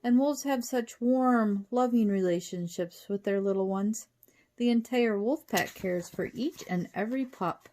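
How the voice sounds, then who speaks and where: Woman as a genteel British little professor, lilting high. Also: a little slow, slight background noise, close to an everyday voice. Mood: polite, welcoming. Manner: calm, polite, quaintly proper. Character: kindly, genteel little old-fashioned male professor.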